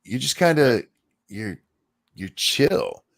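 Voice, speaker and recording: A man's voice: medium pitch, big pitch swings, takes it slowly, vocal fry, fairly bright tone. A man hosting a casual livestream chat, talking in a loose, rambling way with restarts. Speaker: host.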